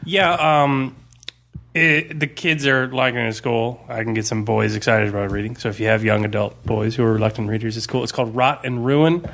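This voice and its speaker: A man's voice: medium pitch, fairly even intonation, fairly quick, gravelly, fairly bright tone. A man co-hosting a podcast, talking in a casual, conversational way. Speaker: podcast co-host; school teacher. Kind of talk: casual chat, recommending books.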